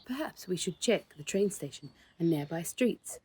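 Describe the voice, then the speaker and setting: A woman's voice: fairly low pitch, lively intonation, normal speed, darkish tone, voice pushed up, lightly acted. An anxious aunt from a children's storybook, as a woman reads her, speaks with urgent emphasis. Faint noise sits behind the voice. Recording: noticeable background noise.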